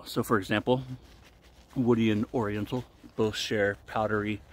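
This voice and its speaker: A man in his forties giving a casual explanatory talk to camera as a vlogger, with deliberate, halting phrasing, recorded outdoors. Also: lowish voice, level tone. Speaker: vlogger reviewing shaving products. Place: outdoors in a wooded park clearing. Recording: noisy outdoor ambience. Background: outdoor ambient noise.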